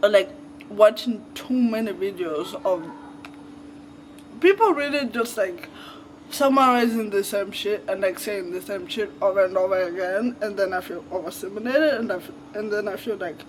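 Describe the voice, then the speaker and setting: A man's voice: high voice, moderate pace, a little hiss or hum, low-quality recording. A twenty-year-old man from Zimbabwe, vlogging in a casual, rambling, candid way, with lots of fillers and the odd swear word. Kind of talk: casual reflective chat to camera. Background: faint crickets and insects.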